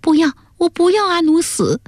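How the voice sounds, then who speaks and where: Woman voicing a plaintive young princess, high-pitched. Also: animated, moderate pace, a little mellow, dry recording, studio quality. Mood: pleading. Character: young princess, devoted, naive, anguished daughter. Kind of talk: dramatised Chinese historical audiobook.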